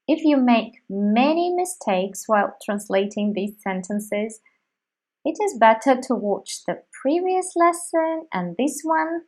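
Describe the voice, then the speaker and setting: A woman teaching a language lesson, saying each word separately with long pauses. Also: fairly high pitch. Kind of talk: slow, deliberate language lesson.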